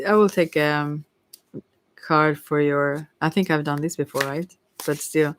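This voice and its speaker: A tarot reader giving an informal ASMR reading in a hesitant, rambling way with many pauses. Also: medium pitch, no room sound. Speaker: Ayurveda therapist.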